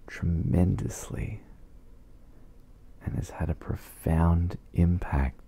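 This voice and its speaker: Male meditation guide for ASMR, extremely low with gravelly fry, soft and soothing, leaving long pauses.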